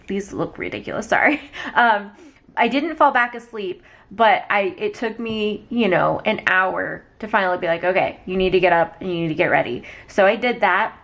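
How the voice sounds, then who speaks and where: Slightly raspy young woman, casual vlogger. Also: medium pitch, fairly bright tone. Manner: rambling, conversational, frequent "like". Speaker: small business owner vlogger. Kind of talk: casual chatty vlog.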